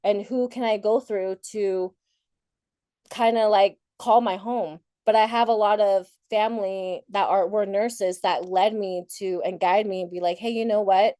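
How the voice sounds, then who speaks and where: A woman's voice: mid-range voice, fairly even intonation, unhurried, warm tone, dry recording, studio quality. A woman from Thailand whose first language is Thai, giving an informal, candid motivational talk about herself in a reflective, conversational way, often rephrasing as she goes. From Thailand, later moved to the United States. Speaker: conference speaker sharing personal story.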